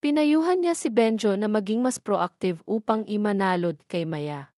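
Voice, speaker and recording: A woman's voice: medium pitch, normal speed, a little mellow, no echo, clean studio sound. A woman reading a scripted story as its narrator.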